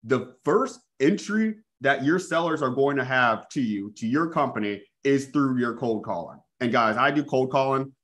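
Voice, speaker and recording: A man's voice: medium pitch, moderate pace, hardly any pauses. A man in his thirties giving a live real estate coaching webinar over a video call, talking energetically and often calling out to his audience. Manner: energetic, repeats "guys", restarts phrases. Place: small room indoors. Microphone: earphone mic on video call.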